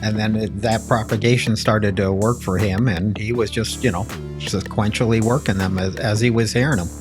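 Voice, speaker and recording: A man's voice: lowish voice, fairly even intonation, normal speed, over music. An American man on a casual talk show panel, explaining things piece by piece. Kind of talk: casual chat.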